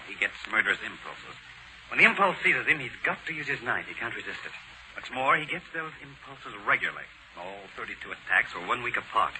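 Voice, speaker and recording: Clever american detective, bright punchy voice, confident, slight hiss old radio drama. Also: male voice, mid-range voice. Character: confident male gentleman detective, clever, reassuring, methodical. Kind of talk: 1940s radio acting. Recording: noisy 1940s radio recording.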